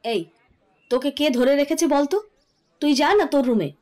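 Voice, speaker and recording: A woman's voice: sits high, animated, moderate pace, darkish tone. A woman playing a bossy but caring middle-aged mother-in-law in an audio story. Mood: mock scolding.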